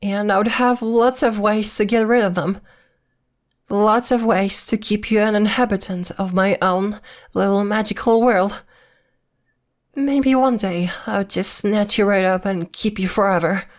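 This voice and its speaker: A woman's voice: mid-range voice, fairly even intonation, unhurried, natural voice. A woman playing a possessive girlfriend who is secretly a witch, coy and sweetly menacing, in an ASMR roleplay. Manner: coy, teasing, veiled threats in a sweet tone.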